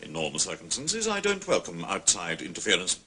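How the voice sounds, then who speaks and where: Casting: authoritative chief constable, bright forceful voice, strongly inflected, formal and doubting. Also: male voice, deep voice, wildly swooping pitch, breathy edge, exaggerated voice. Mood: formal, guarded. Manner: measured, formal, questioning. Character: sceptical, courteous, authoritative male chief constable. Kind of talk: British detective drama acting.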